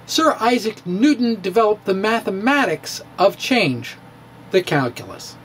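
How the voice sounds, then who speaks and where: A man's voice: high-pitched, very animated, fairly bright tone, slight background noise. A middle-aged man giving a playful, theatrical dramatic reading of his own physics blog into a studio mic in a room at home. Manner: theatrical, playful, with pauses and dips. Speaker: physics blogger. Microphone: studio mic in front of him.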